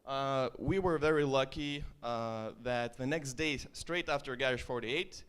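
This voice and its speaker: A man's voice: mid-range voice, unhurried, fairly bright tone, clean tone. A man with an Estonian accent giving a loose, joking startup talk to a live audience, full of ums and uhs. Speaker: startup founder presenting. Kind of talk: conference stage talk.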